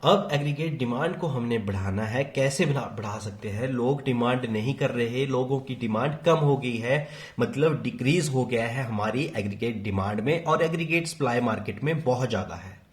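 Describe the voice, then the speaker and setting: An echoey recording carries the medium-pitched voice of a man with an Indian accent. He teaches in an explanatory exam-lesson style, switching between Hindi and English. He restates key phrases and puts short questions to the learner, then answers them himself. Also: normal speed. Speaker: economics teacher. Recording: echoey, reverberant room sound.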